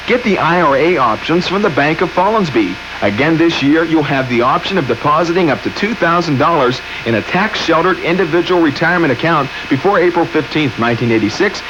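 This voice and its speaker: A man reading a scripted 1980s radio commercial as an announcer. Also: fairly high pitch. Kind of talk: scripted 1980s bank ad read. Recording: dull, noisy old radio broadcast.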